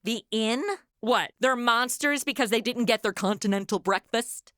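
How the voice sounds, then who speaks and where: A woman's voice: a little high, normal speed, fairly bright tone, no room sound, studio quality, lightly acted. A woman playing a flippant, sassy modern influencer in a radio comedy, casual and wisecracking. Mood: sarcastic.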